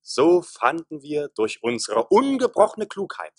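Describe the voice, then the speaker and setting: Dry-recorded earnest, sly village cooper. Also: male voice, on the high side, huge pitch swings, measured pace, dry recording, full character voice. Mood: mock solemn. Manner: declamatory storytelling. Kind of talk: German radio play acting.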